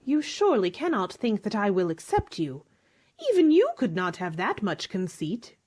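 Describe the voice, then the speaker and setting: A woman's voice: mid-range voice, very animated, moderate pace, darkish tone. A woman playing a proud, guarded young woman in a period romance radio drama, with formal, cool, cutting delivery. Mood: cold, indignant. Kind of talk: scripted radio drama acting.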